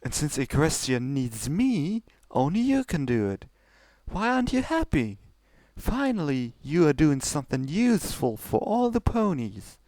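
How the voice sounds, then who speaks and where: Man putting on a high, imperious pony princess. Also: sing-song, a little slow, darkish tone, dry recording. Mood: coaxing. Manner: commanding, impatient, condescendingly sweet.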